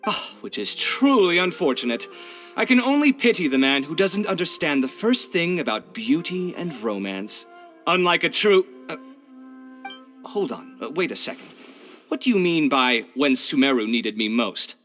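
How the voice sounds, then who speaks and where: An actor voicing a haughty, pompous male architect who is quick to take offence, in a dramatic video game style. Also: a little high, sing-song, a bit bright, faint music behind. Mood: incredulous.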